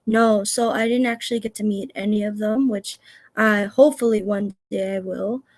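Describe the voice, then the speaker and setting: A woman's voice: mid-range voice, steady tone, moderate pace, a little mellow. A young woman from Canada, a wrestler answering interview questions in a chatty way, full of "like" and "um". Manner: chatty, many "like" and "um" fillers.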